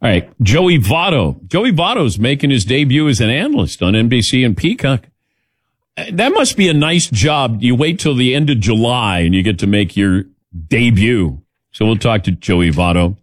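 A man's voice: medium pitch, sing-song, normal speed, slightly rough, dry recording, studio quality. A man hosting a sports talk radio show in a casual, wry, opinionated manner.

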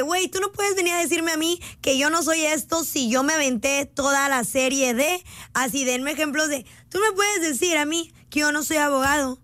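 High-pitched Mexican woman chatting casually. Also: a bit bright, no echo. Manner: playful, slangy, repetitive mock indignation. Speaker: live stream host. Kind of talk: casual chat with listeners.

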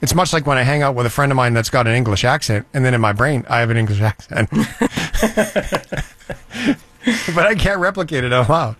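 A man hosting a talk show, telling stories in a chatty, animated way. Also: mid-range voice, normal speed, long pauses. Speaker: show host. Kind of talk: casual talk-show banter.

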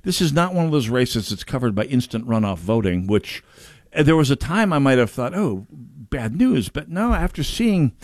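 A man's voice: mid-range voice, sing-song, brisk, dry recording. A male talk radio host with a mid-range voice, chatting casually about politics. He rambles and slips in joking asides, recorded very dry with no room sound.

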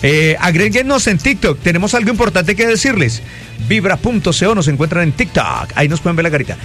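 A man's voice: sits high, sing-song, fairly quick, a bit bright, soft background music. A man hosting a live radio show, teasing his guests and laughing often. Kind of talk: playful live radio banter.

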